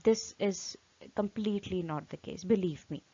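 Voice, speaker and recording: A woman's voice: mid-range voice, moderate pace, warm tone, husky. A woman in her thirties with an Indian English accent, teaching exam speaking skills in a rambling, filler-heavy talk full of "right?" tags and self-corrections. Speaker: IELTS trainer. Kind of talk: informal online teaching talk. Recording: dull lo-fi audio.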